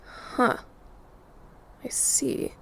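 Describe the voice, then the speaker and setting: A woman's voice: extremely slow, fairly bright tone. A woman acting in an audio drama as a young night security worker, reserved and hesitant but firm. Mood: curious. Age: young adult.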